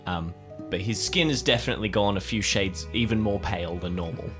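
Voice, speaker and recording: A man improvising narration about his character in an audio drama, halting as he thinks aloud. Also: lowish voice, music in the background.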